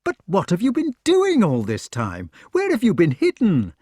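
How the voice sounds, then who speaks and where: Man doing an overexcited young knight, loud and high, voice jumping all over. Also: measured pace, husky, no room sound, clean studio sound, full character voice. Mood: overjoyed. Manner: loud, excited, pitched high. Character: eager young knight, excitable and warm-hearted.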